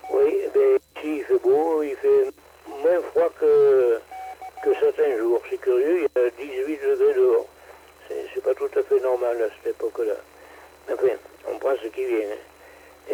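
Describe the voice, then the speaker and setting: A man, a ham radio operator, chatting slowly and gently on air. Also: medium pitch, gravelly. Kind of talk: casual on-air radio chat. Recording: tinny radio transmission with faint noise.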